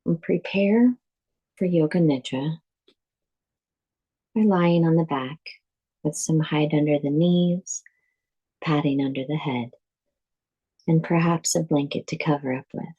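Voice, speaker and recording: A woman guiding a yoga nidra meditation as a teacher, speaking calmly and deliberately in measured phrases with pauses. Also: low-pitched, breathy edge, highs cut off. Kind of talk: guided meditation, scripted instruction.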